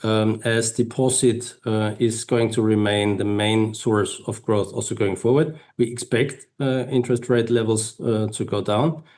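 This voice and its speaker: Low-voiced, halting man presenting financial results. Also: fairly even intonation, darkish tone. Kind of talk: earnings call presentation and answers.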